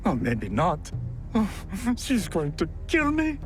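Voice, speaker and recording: Hapless man, game drama: high, swooping voice, cowardly, whiny, excitable, clear music behind. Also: slow, full character voice. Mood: nervous, resigned. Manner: flustered, whiny, excitable, stammering. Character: panicky, cowardly, hapless husband fleeing trouble. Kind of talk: video game cutscene dramatic acting. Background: background music.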